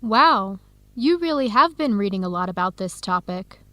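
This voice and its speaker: A woman's voice: medium pitch, expressive, moderate pace, fairly bright tone, no echo, natural voice. Encouraging, upbeat young woman, played by an actress. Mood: supportive, upbeat. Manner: encouraging, enthusiastic. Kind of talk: scripted educational radio drama dialogue.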